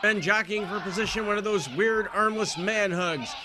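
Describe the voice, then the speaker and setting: Man, high-pitched, American accent, comedic sports commentator, deadpan, verbose, noisy recording. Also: moderate pace. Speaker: wrestling play-by-play announcer.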